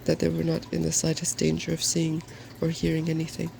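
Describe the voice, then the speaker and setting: A soft-spoken man reading a fairy tale as an ASMR storyteller. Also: on the high side, completely flat delivery, fairly quick, creaky, slight background noise.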